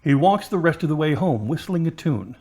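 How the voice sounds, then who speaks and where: A man's voice: fairly high pitch, animated, brisk, a little mellow. A man narrating a scripted audio drama as a storyteller, describing the scenes in the third person.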